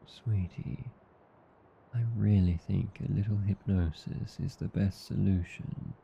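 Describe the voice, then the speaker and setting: A man with an Australian accent playing a gentle, reassuring vampire husband in an intimate ASMR roleplay, tender and soothing. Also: fairly even intonation, takes it slowly, dull audio, slight background noise, exaggerated voice. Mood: gently persuasive. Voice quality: low, dark, gravelly. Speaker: voice actor.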